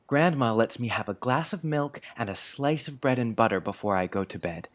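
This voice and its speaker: A woman's voice: extremely low pitch, expressive, normal speed. A woman playing a polite, thoughtful young boy in an audiobook drama, careful, frank and earnest. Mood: confiding. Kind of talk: scripted audiobook drama acting.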